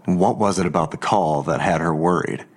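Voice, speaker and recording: A menacing police detective in an audio drama. The voice is low, and the questioning is controlled and unhurried. He taunts coolly and insinuates. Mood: guarded, probing.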